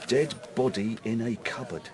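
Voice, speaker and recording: An actor playing a chatty, deferential cafe waiter in a radio drama, eager to gossip. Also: male voice, medium pitch, normal speed, background noise, lightly acted. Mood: eager, gossipy. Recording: busy cafe crowd behind.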